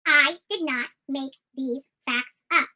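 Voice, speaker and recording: A commentator with an extremely high-pitched voice and a sharp, bright edge. The delivery is opinionated true crime commentary, combative and emphatic, with points hammered home by repetition. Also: takes it slowly. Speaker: online commentator.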